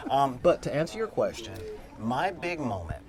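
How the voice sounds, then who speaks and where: A man's voice: medium pitch, sing-song, moderate pace, over music. A man on a convention panel, giving strong opinions in a casual discussion and calling himself the voice of dissent. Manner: opinionated, argumentative, repeats himself. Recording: outdoor convention crowd noise. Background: crowd chatter.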